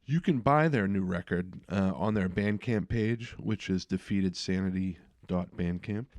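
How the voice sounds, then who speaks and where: A middle-aged man with a notably low voice hosts a casual podcast chat. He talks in a relaxed way, dotting his sentences with filler sounds. The recording is close and dry. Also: a little slow, no echo. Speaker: podcast host.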